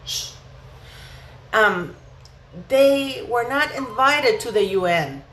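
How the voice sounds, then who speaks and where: A woman's voice: a little high, lively intonation, slow, fairly bright tone. A woman hosting an opinionated gossip commentary vlog, speaking emphatically and indignantly with rhetorical questions. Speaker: vlog host. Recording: echoey room with faint background noise.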